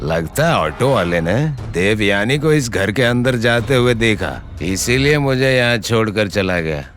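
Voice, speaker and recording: A man's voice: fairly low pitch, lively intonation, unhurried, a bit bright, soft background music. An actor playing a desperate, lovesick young man who pleads insistently. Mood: puzzled. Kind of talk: melodramatic web drama acting.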